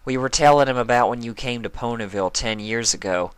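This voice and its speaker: A man's voice: mid-range voice, brisk, smooth, no echo, voice pushed up, lightly acted. A man voicing a plain-spoken, concerned female cartoon country pony, direct and earnest, in a story reading.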